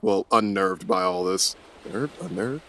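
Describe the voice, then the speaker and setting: A man's voice: sits low, lively intonation, unhurried, gravelly, sharp tone. A man playing in a casual tabletop roleplay podcast, hesitant and often repeating and correcting his words. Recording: music under the voice.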